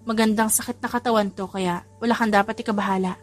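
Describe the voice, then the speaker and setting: A woman's voice: medium pitch, steady tone, fast talker, soft background music, natural voice. A woman with a Filipino accent voicing a playful, affectionate young mother in a romance audiobook. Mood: teasing.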